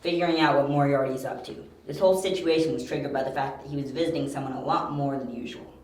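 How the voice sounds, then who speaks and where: A confident young amateur detective, played by a man, lays out his reasoning in a precise, matter-of-fact way. His voice sits at an average pitch in a roomy home-recorded audio drama. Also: steady tone, quick pace, a little mellow, close to an everyday voice. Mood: thoughtful.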